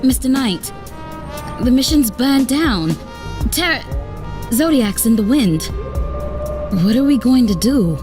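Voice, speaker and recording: A woman's voice: fairly high pitch, lively intonation, slow pace, pauses often. A woman voicing a troubled, earnest ally of a vigilante in a comic dub, speaking hesitantly. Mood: worried. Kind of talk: dramatic comic dub acting. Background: background music.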